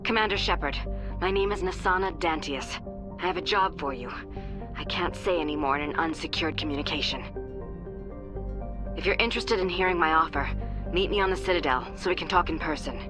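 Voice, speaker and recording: Very low-voiced, guarded businesswoman, played by an actress. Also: over music, natural voice. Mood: guarded, businesslike. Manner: measured, secretive, formal. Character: guarded, businesslike woman making a job offer. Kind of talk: video game voice acting.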